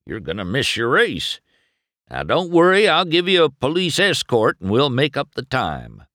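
A man acts out an older sheriff car in a children's storybook. He blusters and insists gruffly, with a soft heart underneath and big swings in pitch. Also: huge pitch swings, normal speed, no room sound, studio quality. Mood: demanding, urgent. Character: gruff, older male police car, secretly a big softy.

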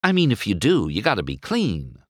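A man's voice: on the low side, huge pitch swings, moderate pace, no echo, studio quality. A man voicing a blunt, nonchalant young female car with a teasing temper in a children's storybook.

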